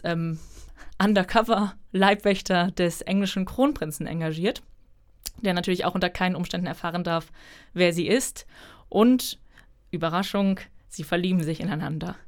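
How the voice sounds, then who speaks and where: A woman's voice: mid-range voice, smooth. A young woman in her twenties, a German author, answering interview questions in a chatty, thoughtful way with frequent fillers. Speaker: author guest.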